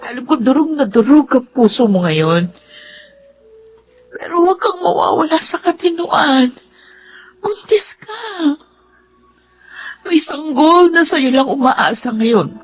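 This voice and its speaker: High, earnest devoted younger sister. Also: female voice, very animated, takes it slowly, pauses often, exaggerated voice, rough recording. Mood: pleading, comforting. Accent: Filipino. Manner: earnest, emotional, exclamatory. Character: devoted, excitable younger sister comforting her sibling. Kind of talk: Filipino radio drama acting.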